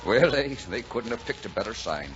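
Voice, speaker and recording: An actor playing a gruff but kindhearted bachelor delivery driver in a folksy, exclamatory 1940s radio drama style. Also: male voice, lowish voice, a bit bright. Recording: dull, noisy 1940s radio recording.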